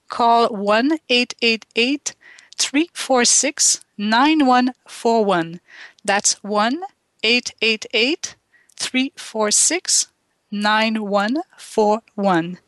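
A woman from Quebec with a Quebec French accent, French her first language, hosting a call-in radio show in a halting way, with many ums and restarts. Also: on the high side, fairly bright tone, no room sound. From Quebec, Canada. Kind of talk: slow, hesitant call-in radio talk.

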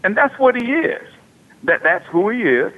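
A man's voice: high voice, very animated, moderate pace. A man in his forties hosting a sports talk radio show, talking casually and animatedly, often repeating himself. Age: 40s. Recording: tinny phone-like radio audio.

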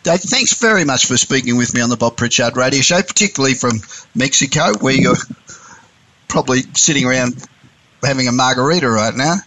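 A man's voice: medium pitch, brisk, pushed and bright, a little hiss or hum, low-quality recording. A man hosting a business radio show, asking questions and giving brief acknowledgements with friendly teasing. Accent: non-standard regional.

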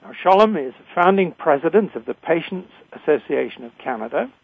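An older man with a British accent hosting a radio talk show in a formal, deliberate way, introducing and interviewing. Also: on the high side, lively intonation, vocal fry, breathy edge, no room sound. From Britain. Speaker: radio show host, retired physician. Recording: narrow, phone-like line.

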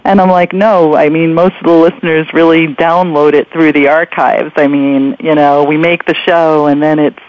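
A woman's voice: deep voice, steady tone, normal speed, few pauses. A woman hosting a radio talk show, chatting in a casual, informal and rambling way. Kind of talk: casual interview chat. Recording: muffled, dry internet radio sound.

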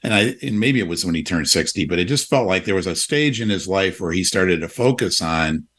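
A man's voice: deep voice, animated, a little fast, fairly bright tone. A man in his sixties hosting a radio talk show, speaking reflectively with frequent restarts and fillers. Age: 60s. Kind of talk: casual conversation.

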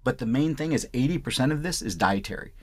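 A man's voice: medium pitch, expressive, quick pace. A man from Punjab in India, a doctor answering interview questions in an explanatory way. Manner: explanatory, repeats "I want" phrases. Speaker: physician.

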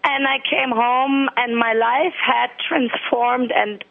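A hoarse woman from Germany calling in to a radio show, speaking hesitantly and with halting restarts. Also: on the high side, fairly even intonation. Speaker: caller. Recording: telephone line.

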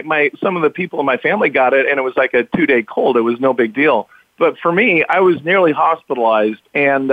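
Male interview guest, raspy and a touch hoarse, earnest, tinny phone-line sound. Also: fairly high pitch, no room sound. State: recovering from illness, slightly hoarse. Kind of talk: remote interview.

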